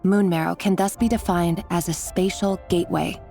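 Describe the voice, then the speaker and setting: An actress playing a detached researcher in a video game, delivering a report in a precise, formal way. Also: female voice, fairly low pitch, fairly even intonation, normal speed, gravelly, dark tone. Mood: clinical. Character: clinical, detached female researcher. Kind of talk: scripted game narration, reading a research report. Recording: game music faintly behind.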